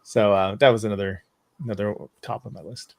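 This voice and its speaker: A man's voice: lowish voice, fairly even intonation, brisk, gravelly, dry recording. A man speaking casually and off the cuff as a fan panelist. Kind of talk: casual chat.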